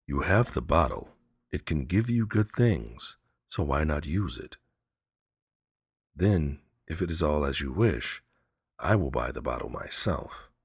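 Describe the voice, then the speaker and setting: A man voicing a practical, persuasive male friend in a storybook reading, calm and coaxing. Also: a bass voice, unhurried, husky, no echo, clean studio sound, heavily acted. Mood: curious, coaxing.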